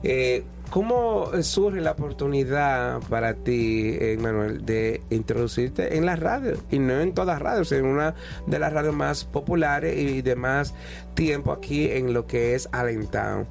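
A man's voice: mid-range voice, measured pace, vocal fry. A man hosting a podcast and radio interview, chatty and drawn out in his questions, recorded in a radio studio. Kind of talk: casual interview chat. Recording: radio studio with faint music.

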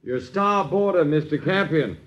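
An actor playing an uppity, needling boarder in a 1950s radio drama, with florid diction and mock politeness. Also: male voice, fairly high pitch, lively intonation, unhurried, a bit bright, lo-fi sound. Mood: mock-polite. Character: suave, sardonic, uppity boarder who needles his landlords.

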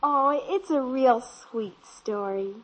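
A woman's voice: high-pitched, lively intonation, slow, fairly bright tone, exaggerated voice. A chirpy little girl. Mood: earnest. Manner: earnest, prim, chirpy. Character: bright eager little girl, proud of her secret. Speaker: daughter. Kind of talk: 1950s radio sitcom acting. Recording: dull old radio broadcast.

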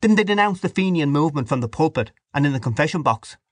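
A man playing an impassioned, defiant Irish nationalist in an audiobook, with a forceful, declamatory manner. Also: mid-range voice, lively intonation, fast, breathy edge, dry recording, voice pushed up. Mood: indignant.

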